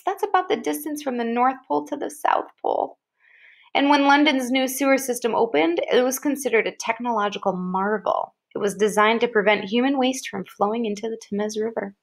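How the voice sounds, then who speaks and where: A woman's voice: on the high side, animated, moderate pace. A woman reading an informational article aloud expressively, like a teacher, leaning on and drawing out key descriptive words.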